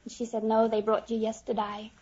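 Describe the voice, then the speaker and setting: A woman's voice: a little high, monotone, a little fast, a little mellow, slightly rough. A woman with an Australian accent chatting hesitantly as a quiz show contestant on an old radio recording. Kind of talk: hesitant casual chat. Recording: muffled old radio recording, faint audience noise.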